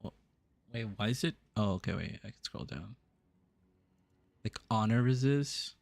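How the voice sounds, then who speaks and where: A man's voice: fairly low pitch, expressive, measured pace, creaky, dry recording. A young adult man from the US East Coast, musing aloud and answering chat during a casual game stream. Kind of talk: casual live-stream chat while playing.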